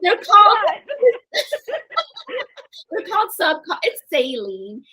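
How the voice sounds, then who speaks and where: A woman guest on a podcast, telling her life story in a chatty, animated way and laughing often. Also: extremely high pitch, slow, rough recording. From grew up in New Orleans, USA. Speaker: podcast guest, eye doctor and real estate investor. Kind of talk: casual interview storytelling.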